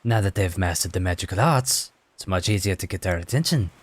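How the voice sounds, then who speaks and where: A man's voice: low voice, animated, brisk. An actor playing a sardonic, knowing male god in an audio drama. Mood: wry, warning. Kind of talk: dramatic audio fiction acting.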